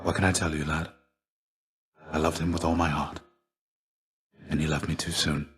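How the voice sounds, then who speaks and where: A fallen hero's shade from a video game, with a deep voice. He is a fatherly, weary mentor who speaks formally and gently. His phrasing is measured and archaic, like an old soldier of legend. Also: level tone. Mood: wistful, tender. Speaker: game character voice actor. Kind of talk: scripted video game dialogue.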